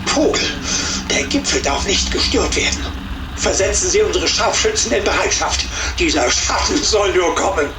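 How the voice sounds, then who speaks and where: High, imperious Nazi villain. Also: male voice, expressive, normal speed. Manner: imperious, barking orders. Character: commanding male Nazi officer villain, severe and driven. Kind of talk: dramatic game cutscene acting. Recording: distant, music-covered game audio.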